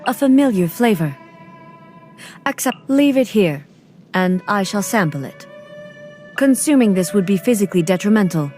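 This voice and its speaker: A woman voicing a solemn, reserved female ruler deity in a video game, with formal, measured and deliberate diction. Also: mid-range voice, lively intonation, darkish tone, soft background music, close to an everyday voice. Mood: stern, cold. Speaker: video game character voice actor. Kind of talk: scripted character voice lines.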